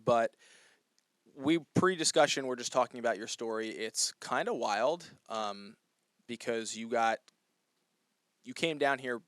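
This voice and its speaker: A man's voice: mid-range voice, animated, measured pace, long pauses, a bit bright, no room sound. A man from New Jersey hosting a casual interview podcast, talking loosely with plenty of "like" fillers. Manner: chatty, lots of "like" fillers. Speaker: podcast host.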